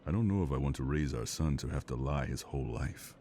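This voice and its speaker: A man acting a devoted, steady partner and father in an intimate audio drama, calm and reassuring. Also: extremely low pitch, fast talker, a little hiss or hum.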